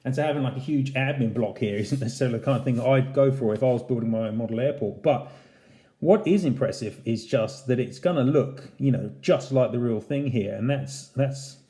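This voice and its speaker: A man's voice: mid-range voice, fairly quick, mellow. A man hosting a casual unboxing review, talking in a conversational, explanatory way. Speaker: channel host, model airport reviewer. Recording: roomy, echoey indoor sound.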